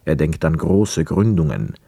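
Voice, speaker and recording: An actor playing a reflective, wary man back from war, narrating a German radio play in measured literary phrases. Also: a bass voice, sing-song, gravelly, warm tone, slightly rough, no room sound, studio quality, heavily acted. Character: young war returnee, reflective, wary narrator of hotel life.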